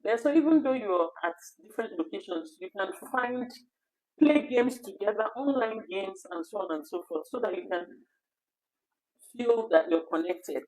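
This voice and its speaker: A woman with a naturally high voice co-hosting a casual live discussion, talkative and quick to finish her partner's sentences. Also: lively intonation, darkish tone, rough recording. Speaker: co-host of an online talk program.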